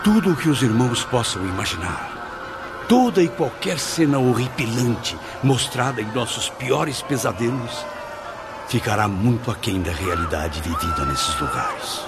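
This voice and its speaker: An actor playing an anguished spirit of a dead man, recounting his torment gravely and theatrically in a radio drama. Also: mid-range voice, animated, a little slow. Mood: grave, horrified. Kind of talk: dramatic first-person narration in a radio drama. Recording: loud dramatic music under the voice.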